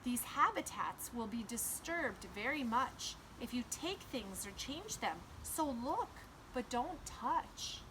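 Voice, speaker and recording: A woman voicing an excited, protective young boy who exclaims emphatically in a children's storybook. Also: fairly high pitch, slow, hardly any pauses, background noise. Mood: urgent warning. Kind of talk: children's read-aloud storybook.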